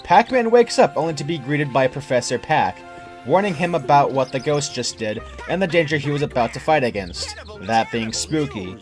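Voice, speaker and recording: A man's voice: mid-range voice, animated, normal speed. A man reading a scripted first-person creepypasta story as its narrator. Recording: music under the narration.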